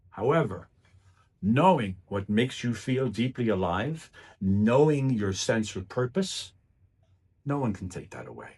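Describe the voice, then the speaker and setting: Husky, highly animated male motivational speaker. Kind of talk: rehearsed motivational speech.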